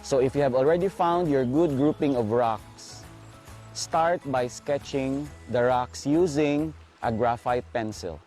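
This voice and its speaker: A man with a Filipino accent narrates a tutorial at a very unhurried pace. His voice sits at a middle pitch, with faint music underneath. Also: a little mellow. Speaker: art instructor. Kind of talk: instructional video narration.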